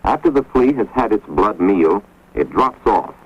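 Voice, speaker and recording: A man giving measured, grave scripted narration for an instructional film, recorded on a dull, lo-fi 1950s film soundtrack. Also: lowish voice, big pitch swings, slightly rough. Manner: measured, grave, authoritative delivery.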